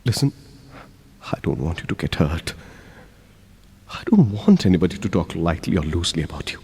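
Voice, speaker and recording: An actor playing a young man from India with an Indian English accent, swinging between outbursts and hushed pleading in an audio drama. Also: deep voice, big pitch swings, normal speed, breathy edge, heavily acted. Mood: remorseful. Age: young adult. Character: young man Raj, pleading with old college love. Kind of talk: amateur audio drama acting.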